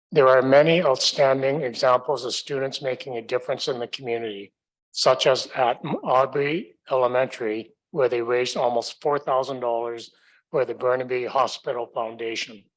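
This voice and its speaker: A man reading a scripted roundup of school district news in a formal, measured way. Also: medium pitch, steady tone, poor audio.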